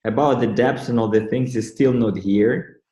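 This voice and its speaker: A man from Ukraine interviewing over a video call in halting English, with many pauses and fillers. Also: medium pitch, dark tone, roomy sound.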